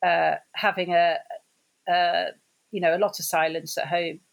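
A woman with a slightly low voice hosts an interview. She sounds encouraging and affirming, and she often praises her guest and sums up what the guest has said. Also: flat delivery, unhurried, creaky. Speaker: interviewer, host. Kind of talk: supportive interview.

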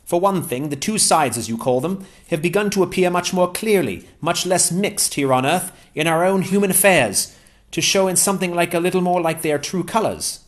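A man doing a bright eager scholar. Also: high-pitched, normal speed, pitched above the performer's own voice. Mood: earnest. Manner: warm, lively, breathless with enthusiasm. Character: eager cheerful Englishman, a scholar-traveler. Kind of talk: audiobook character voice.

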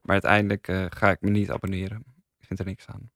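Man, podcast reviewer, fairly low pitch, casual conversational delivery, dry sound. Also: level tone, fast, gravelly, fairly bright tone, dry recording.

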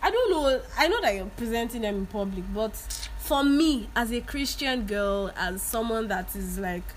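A young woman with a Nigerian accent in casual chat, animated and opinionated, slipping into pidgin. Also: on the high side, moderate pace, a little hiss or hum, rough recording.

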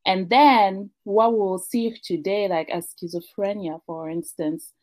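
A woman from Africa, a guest in a casual chat, talking reflectively with many pauses and restarts. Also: mid-range voice, fairly even intonation, a little mellow, dry recording. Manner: thoughtful, many fillers and restarts.

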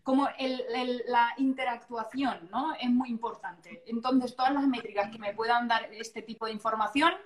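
High-voiced Spanish woman, playful and echoey. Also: moderate pace, few pauses. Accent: Spain. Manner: playful, digressive, interrupts herself. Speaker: interview guest, entrepreneur. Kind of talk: casual chat. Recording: echoey room sound.

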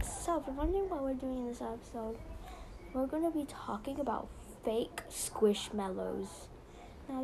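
A woman's voice: high-pitched, creaky, background noise. A young woman hosting a casual podcast, chatty and rambling with lots of "so yeah". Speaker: podcast host.

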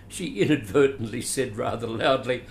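A man in his late seventies with an Australian accent, telling stories about his acting career in an animated, theatrical, chuckling way, recorded at home. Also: medium pitch, unhurried. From Adelaide, Australia. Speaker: guest, veteran actor. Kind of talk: storytelling interview. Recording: roomy echo, faint hiss.

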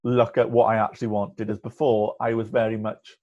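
A man's voice: lowish voice, little pitch movement, a little fast, darkish tone. A young man in his twenties, a podcast guest speaking candidly with a stammer, blocking on and repeating sounds. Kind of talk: candid conversational interview. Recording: muffled lo-fi audio.

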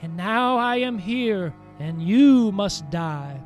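Man doing a mournful water-spirit woman, high voice, slow sobbing lines, storybook reading. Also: lively intonation, faint music behind. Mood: sorrowful.

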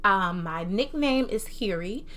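A woman's voice: medium pitch, slow pace, a little hiss or hum. A young woman from New Jersey with a mixed Jersey and Southern American accent, chatting casually and animatedly as a solo podcast host. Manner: animated, informal, slangy. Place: own room at home.

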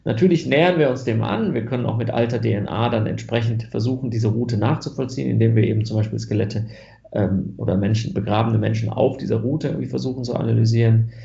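Gravelly-voiced German man, thoughtful. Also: mid-range voice, fast, mellow, echoey room, dull audio. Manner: thoughtful, many fillers and restarts. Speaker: geneticist interviewee. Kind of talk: interview answers.

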